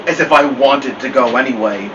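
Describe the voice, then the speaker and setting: A man's voice: mid-range voice, normal speed, natural voice. Man reading as wry old family dog, muffled recording. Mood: wry, reflective. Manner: wry, digressive, formal wording. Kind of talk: first-person storybook narration. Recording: muffled, distant, noisy room hum.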